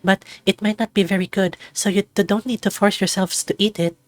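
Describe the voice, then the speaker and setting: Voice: mid-range voice, steady tone, a little fast, no echo, soft background music, pitched above the performer's own voice, close to an everyday voice. A performer voicing a shy, flustered young moth woman from a visual novel, stammering bashfully and apologetically. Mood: bashful, nervous.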